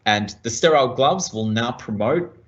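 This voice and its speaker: An Australian man, a medical practitioner, giving a measured product demonstration to colleagues. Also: medium pitch, expressive, dull audio.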